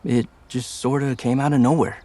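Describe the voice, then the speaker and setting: A man's voice: mid-range voice, little pitch movement, normal speed, mellow, close to an everyday voice. A male actor playing a sheepish, earnest groom and young father in a motion comic, speaking hesitantly. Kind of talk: scripted cartoon dialogue.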